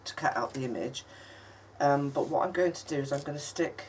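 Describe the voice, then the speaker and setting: A woman hosting a crafting tutorial, croaky and husky from a cold, chatting in a halting way with lots of ums and the odd cough. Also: a little mellow. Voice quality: husky, low for her. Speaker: craft channel host. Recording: distant mic with faint noise.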